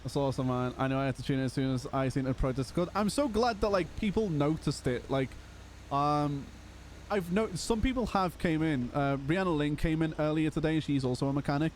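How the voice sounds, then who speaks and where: A hoarse young man, chatting casually and laughing as he streams a roleplay game. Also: a little high, fairly quick, a little hiss or hum. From Liverpool, England. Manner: chatty, laughs often, calls people brother. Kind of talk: casual live-stream chat.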